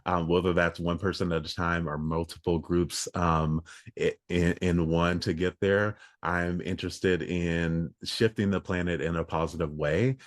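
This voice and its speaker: A man, a leadership coach, speaking in an earnest, measured way with frequent ums as a podcast guest. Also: low-pitched, steady tone, no room sound, studio quality. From United States. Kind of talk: casual interview talk.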